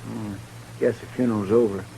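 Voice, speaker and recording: An actor playing a humble, folksy, loyal deputy with a rural American accent, deferential and drawling, in a 1950s radio western. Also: male voice, on the low side, fairly even intonation, a little fast, gravelly, warm tone. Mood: subdued, wistful. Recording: noisy old 1950s radio broadcast.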